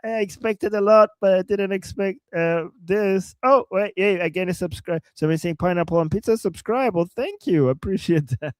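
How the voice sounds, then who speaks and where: Man, Persian accent, live-stream co-host, animated and laughing, slightly high voice. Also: normal speed, hardly any pauses, no room sound. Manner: animated, jokey, laughs often, reacts to chat. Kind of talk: casual live-stream commentary.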